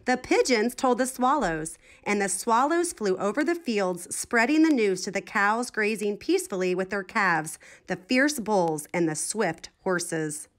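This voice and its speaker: A woman reads a children's storybook aloud. Her medium-pitched voice moves up and down in a lively, expressive way. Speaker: storybook reader.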